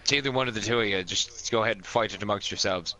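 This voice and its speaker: A man's voice: fairly low pitch, rapid-fire, bright, forceful tone, dull audio. A man playing an online tabletop role-playing game, talking casually and bluntly with plenty of swearing, over background noise. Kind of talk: casual in-character play and recap.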